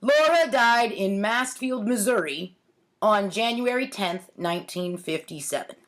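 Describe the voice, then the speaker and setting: A woman's voice: mid-range voice, animated, unhurried. A woman around forty reading aloud at home with wry, theatrical delivery and casual self-mocking asides. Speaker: host reading her own childhood writing. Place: home kitchen, indoors. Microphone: webcam or laptop at arm's length.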